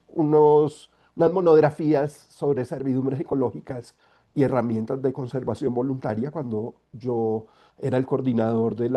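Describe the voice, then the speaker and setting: A middle-aged man speaks over a video call, congested from a cold. He lays out his points in a measured, explanatory manner, with a mellow, dark voice. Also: medium pitch. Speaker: guest speaker, environmental lawyer. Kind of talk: online talk.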